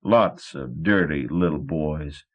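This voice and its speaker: A man voicing a brutish riverboat thug who taunts slowly and menacingly. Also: extremely low pitch, lo-fi sound, heavily acted. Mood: taunting. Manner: slow, taunting.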